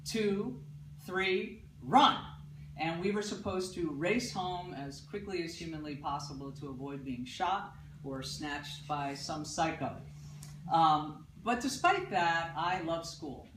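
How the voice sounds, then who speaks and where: A woman from Paterson, New Jersey, loudly telling a personal story on stage to a live audience. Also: sits low, noisy background. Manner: loud. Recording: roomy, distant mic, audible ambience.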